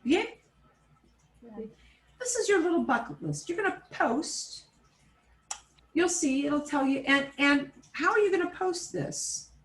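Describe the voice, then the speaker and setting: A woman teaching a Spanish class over a video call, switching between Spanish and English and repeating phrases slowly. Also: very high voice, expressive, roomy sound. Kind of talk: online class instruction.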